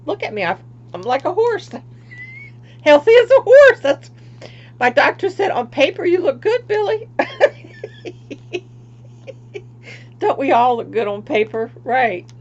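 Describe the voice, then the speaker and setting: An older woman from Florida, chatting casually on her craft livestream, rambling and laughing at herself, often trailing off quietly. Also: sits high, sing-song, slow pace, pauses often, dull audio. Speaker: craft vlogger.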